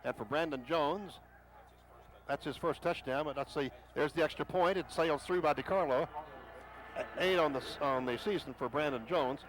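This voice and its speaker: A man calling a football game play by play for radio, rising in pitch and volume on big plays. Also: moderate pace. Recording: noisy stadium broadcast.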